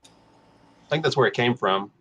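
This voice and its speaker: A man's voice: mid-range voice, unhurried, sharp tone, highs cut off. A man about to turn fifty chatting casually as a podcast guest, jokey and laughing often. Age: 40s. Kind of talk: casual group chat.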